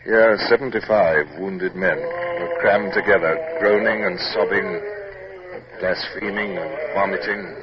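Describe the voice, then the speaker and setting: An actor playing a weary, dutiful British naval captain in a 1950s radio drama, speaking formally. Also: male voice, low-pitched, unhurried, a loud crowd. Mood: grim narration. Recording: noisy muffled old radio recording.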